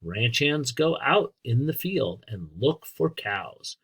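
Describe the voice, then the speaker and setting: An American man with a husky voice reading aloud as a teacher to children, explaining things simply and speaking directly to young listeners. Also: medium pitch, very animated, takes it slowly, a bit bright. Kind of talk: read-aloud lesson with asides and questions.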